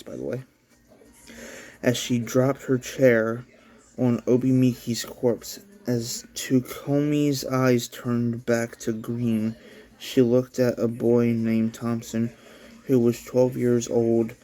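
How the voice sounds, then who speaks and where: A man reads his own homemade story aloud in a slow, unhurried way. His voice is mellow and relaxed, and the delivery is offhand and casual, like a channel host chatting between chapters. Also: mid-range voice, poor audio. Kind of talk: casual read-along narration.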